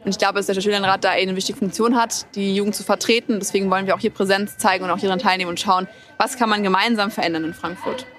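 This eighteen-year-old woman talks quickly and fluently, like someone used to speaking for a group. She answers interview questions as a student representative, in full and composed sentences. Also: mid-range voice, fairly even intonation, fairly bright tone. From Germany. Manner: articulate, quick, speechlike. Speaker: deputy city student representative. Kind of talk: event interview.

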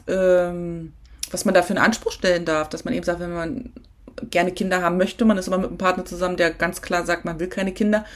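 A woman hosting a solo podcast, talking casually and reflectively straight to the listener, with frequent filler sounds and self-corrections. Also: on the low side. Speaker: podcast host.

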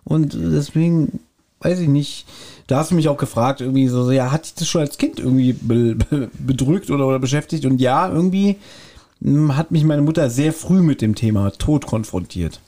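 A man's voice: mid-range voice, normal speed, dark tone. A man co-hosting a podcast in casual chat, rambling with restarts and laughing easily. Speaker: podcast co-host.

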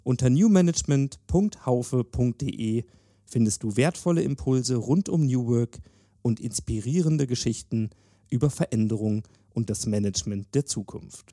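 A man's voice: mid-range voice, lively intonation, normal speed, dark tone, no echo, studio quality. A man hosting a podcast, reading a scripted introduction and sponsor message. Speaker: podcast host.

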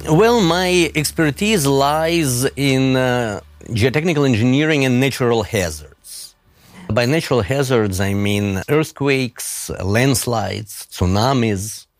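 A middle-aged man with a Russian accent, a professor giving measured, deliberate interview answers with occasional fillers. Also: medium pitch, animated, dry recording, soft background music. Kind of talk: interview answers, recounting a case.

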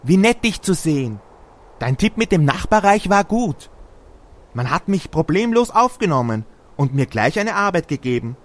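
A man's voice: high-pitched, very animated, moderate pace. A man voicing a friendly, naive snowman in a fairy-tale audio play, polite and guileless in manner. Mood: pleased. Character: friendly, naive, good-natured male snowman.

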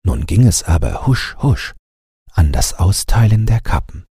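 Deep husky man reading fairy tales. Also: unhurried, no echo, studio quality. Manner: expressive storytelling for children. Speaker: audiobook narrator. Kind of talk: scripted bedtime fairy-tale narration.

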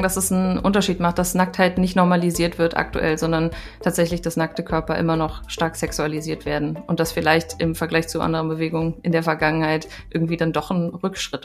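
A woman giving her opinion as a commentator, in a reflective way. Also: lowish voice. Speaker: opinion blogger, guest commentator.

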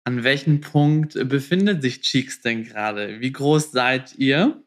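A man's voice: medium pitch, slow. A man with a mid-range voice acts as the interviewer on a podcast. He asks his questions in a casual, colloquial style, mixing in the odd bit of English slang.

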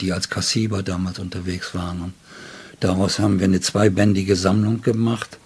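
A man's voice: low voice, a little mellow, breathy edge. An older man, a poet being interviewed, speaking in a reflective way. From Germany. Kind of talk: reflective interview talk and poetry reading.

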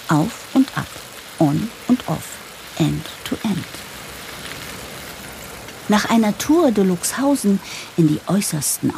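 A harried, idealistic office boss in an audio drama. She confides wryly in a slightly low, very lively voice, with a light rainy noise behind her. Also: vocal fry, exaggerated voice. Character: harried, idealistic new female managing director narrating office chaos. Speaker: narrator and lead character. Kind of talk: scripted audio drama narration.

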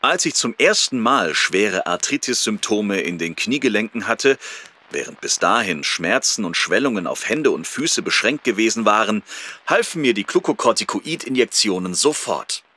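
A man's voice: fairly low pitch, animated, normal speed, sharp tone. An actor playing a male surgeon who looks back candidly on his illness in a reflective, emphatic audio drama monologue. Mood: reflective, matter-of-fact. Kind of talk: first-person audio drama monologue, read from memoir.

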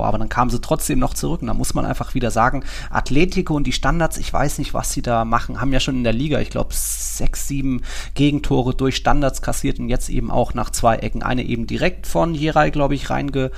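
A man talks sport in a loose, conversational podcast style. His voice sits at an ordinary male pitch and has a noticeable fry to it. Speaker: football podcast host. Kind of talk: casual sports discussion.

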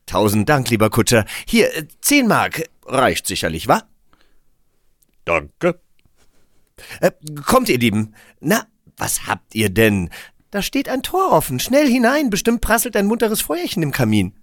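A man's voice: medium pitch, huge pitch swings, a little slow, no echo, clean studio sound. A man voicing a cheerful, gushing, oblivious traveller who eagerly herds the family along in a children's radio play. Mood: eager, urging. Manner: exclamatory, overeager.

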